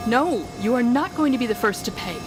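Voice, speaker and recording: A woman's voice: a little high, animated, fairly quick, music in the background, lightly acted. A woman playing a weary, sardonic telepathic supervillain in an audio roleplay, speaking wryly and dramatically straight to one listener. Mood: desperate, anguished. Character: weary sardonic female telepathic supervillain, bitter yet fair.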